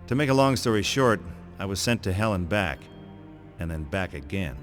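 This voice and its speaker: An American man playing a cocky, sarcastic, hard-boiled monster slayer in a video game, with deadpan swagger. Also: deep voice, moderate pace, faint music behind. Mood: smug, wry. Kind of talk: video game voice acting, wry narration.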